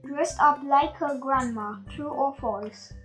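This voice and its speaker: Slow, high-voiced woman reading to children. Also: fairly bright tone, soft background music, low-quality recording. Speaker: storyteller and quiz host. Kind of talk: read-aloud children's bedtime story.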